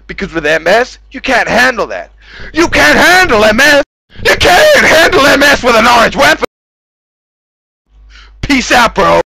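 A man giving a mocking, over-the-top, profane read-aloud of gamers' posts, often breaking into shouts. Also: high-pitched, gravelly, no echo. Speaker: gamer in a voice chat.

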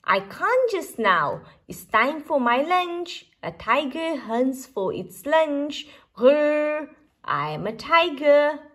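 A woman's voice: sits high, big pitch swings, slow pace, a bit bright, pitched above the performer's own voice, exaggerated voice. A woman voicing a small, boastful, stubborn storybook mouse who insists on being a tiger. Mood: cocky, stubborn. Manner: insistent, cocky declarations.

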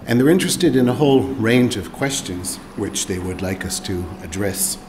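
A middle-aged man, a researcher, giving a formal, measured talk at a lectern in a seminar room. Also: on the low side, animated, a little mellow, a little hiss or hum.

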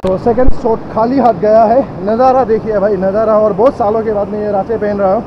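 A high-pitched man talks casually to his camera outdoors, exclaiming and repeating short phrases. The sound is dull, with loud river water rushing behind him. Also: fairly even intonation, noisy background. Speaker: vlogger. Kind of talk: casual outdoor vlog commentary. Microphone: handheld camera microphone.

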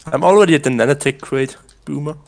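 A man's voice: on the high side, fast, vocal fry. Laughing, teasing man on voice chat. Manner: laughs often, teasing, swearing. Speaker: speedrunner in a race. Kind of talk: casual voice chat during a race.

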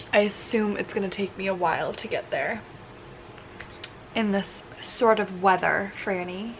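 A young woman vlogging casually from her bed with a croaky morning voice and a relaxed, chatty manner full of fillers, filmed on a camera held at arm's length. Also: medium pitch, slight background noise, low-quality recording. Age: young adult. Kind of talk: casual vlog chat. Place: bedroom, in bed. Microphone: handheld camera at arm's length.

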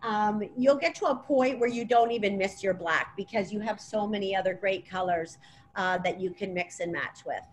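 A middle-aged woman presenting as a stylist in an informal webinar, explaining in a halting way over a video call. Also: medium pitch. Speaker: colour analysis stylist presenting. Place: clothing boutique, indoors. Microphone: laptop or tablet on video call. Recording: video call with clear background noise.

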